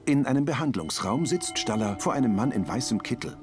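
A man reading scripted audio description in a measured, neutral way. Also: mid-range voice, lively intonation, music in the background. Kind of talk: scripted narration.

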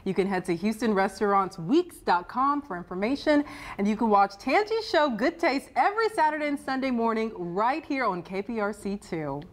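A woman's voice: a little high, lively intonation, normal speed. A young woman anchoring a morning TV show, upbeat and chatty, on a lapel mic in a studio. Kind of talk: morning TV interview. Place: TV news studio.

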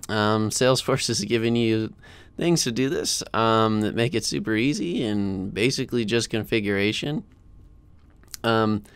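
An American man in his thirties hosting a casual software screencast tutorial in an informal, chatty way, close to a desk mic. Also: medium pitch, clean tone, no room sound. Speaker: tutorial host. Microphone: close desk microphone.

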